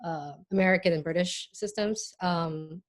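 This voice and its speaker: A woman from South Korea telling her story in a relaxed way, with frequent fillers, in a casual panel conversation on a video call. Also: fairly low pitch, normal speed. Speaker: artist guest.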